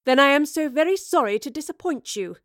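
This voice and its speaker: A woman's voice: high voice, very animated, normal speed, dry recording, studio quality, pitched above the performer's own voice. A woman voicing a genteel, spirited storybook heroine who speaks formally and emphatically. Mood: defiant. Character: genteel, spirited Victorian-style heroine, brave and determined.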